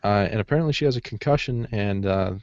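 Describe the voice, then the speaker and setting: A man's voice: lowish voice, fast talker, darkish tone, no echo. A man co-hosting a podcast in informal, easygoing, casual conversation. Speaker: podcast co-host. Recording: slightly dull, lo-fi audio.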